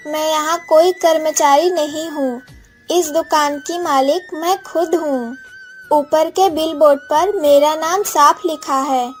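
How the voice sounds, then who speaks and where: High-voiced, earnest orphan village girl played by a woman. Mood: proud, polite. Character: wronged orphan village girl, pleading, later proud shopkeeper. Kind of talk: Hindi moral-story cartoon dubbing.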